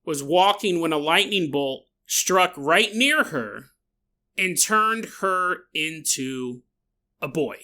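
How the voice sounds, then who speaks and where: A man's voice: fairly high pitch, expressive, takes it slowly, pushed and bright. A man hosting a podcast, telling a strange story in a jokey way, often laughing and adding side remarks. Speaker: podcast host. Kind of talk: comedic paranormal storytelling.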